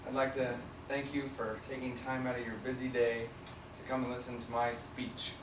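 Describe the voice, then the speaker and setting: Raspy young man, student speech, picked up from far off in an echoey room with faint hum. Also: medium pitch, level tone, normal speed. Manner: reads from prepared notes with fillers and restarts. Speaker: student giving a class speech. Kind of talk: informative class speech. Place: classroom.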